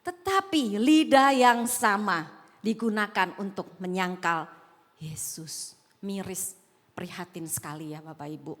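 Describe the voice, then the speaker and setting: A woman's voice: mid-range voice, unhurried. Woman pastor, slight Javanese accent, echoing hall. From Sumatra, Indonesia. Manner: conversational, asks the congregation questions. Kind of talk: sermon. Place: large auditorium.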